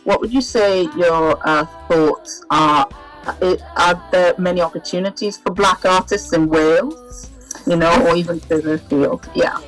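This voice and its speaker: A woman's voice: fairly low pitch, steady tone, a little slow, faint music behind, low-quality recording. A woman asking casual interview questions in a tentative way.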